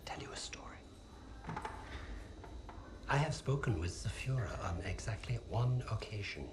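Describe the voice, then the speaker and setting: An actor playing an arrogant, coolly menacing Gestapo interrogator in a war game cutscene, speaking in a measured, self-satisfied way. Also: male voice, lowish voice, lively intonation, background noise, close to an everyday voice. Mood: quiet menace. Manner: measured, deliberate, self-satisfied, sometimes dropping near whisper.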